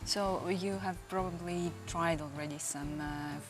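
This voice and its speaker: Lower-voiced woman live interpreter. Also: fairly even intonation. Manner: quick, chatty, laughing between phrases.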